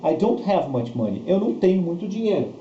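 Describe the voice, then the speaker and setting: A man's voice: high voice, big pitch swings, fairly quick, warm tone. A man with a Brazilian accent teaching a bilingual grammar lesson, explaining didactically with repetition and short check questions, in a dull, roomy recording with faint noise. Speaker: English teacher.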